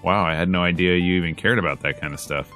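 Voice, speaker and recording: A man's voice: sits low, quick pace, a bit bright, soft background music. A man reading a visual novel aloud in a casual, slangy let's play style, moving between narration and dialogue.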